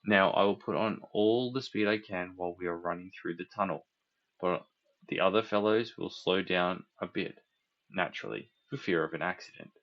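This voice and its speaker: A man voicing a grave but soft-hearted working engine driver in a storybook, earnest, steady and kindly. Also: low voice, normal speed, lo-fi sound. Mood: urgent, earnest.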